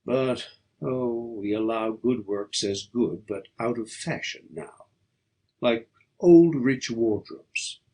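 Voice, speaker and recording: A man reading poetry aloud as an audiobook, in a declamatory, measured and emphatic dramatic style. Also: medium pitch, mellow. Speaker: audiobook reader.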